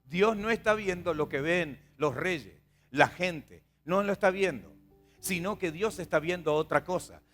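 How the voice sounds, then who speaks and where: A man's voice: a little high, animated, unhurried, fairly bright tone, soft background music. A man with a Rioplatense Spanish accent preaching a sermon as a pastor, conversational and repetitive, putting questions to his listeners. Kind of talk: sermon to a congregation.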